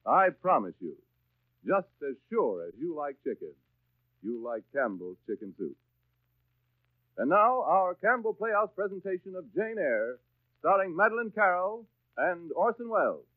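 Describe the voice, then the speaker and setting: A man's voice: a little high, dry recording, narrow, tinny audio. A man with an American accent delivers a 1930s radio advertisement as an announcer, with polished, emphatic, folksy salesmanship. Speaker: radio announcer and sponsor's pitchman. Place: radio studio. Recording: thin, band-limited 1930s radio recording.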